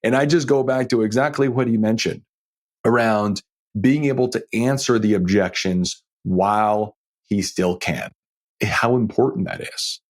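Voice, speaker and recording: A man's voice: fairly low pitch, unhurried. A middle-aged man hosting a financial advice podcast solo, talking conversationally in an emphatic, folksy way with frequent rhetorical check-ins. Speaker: podcast host and financial planner.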